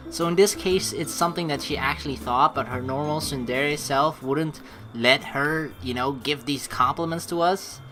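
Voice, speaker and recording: A man giving casual, rambling gameplay commentary and reading dialogue aloud, excitable, informal and sweary. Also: on the high side. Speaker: let's player. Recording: game music behind the voice. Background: game music.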